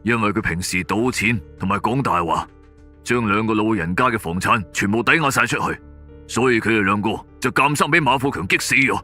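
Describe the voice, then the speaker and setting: A man's voice: on the low side, fairly quick, bright, forceful tone, faint music behind. A man with a Cantonese accent voicing an indignant, blunt informant in a suspense audio drama. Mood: bitter, indignant. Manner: bitter, blunt, cursing. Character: indignant, foul-mouthed informant recounting a family wrong.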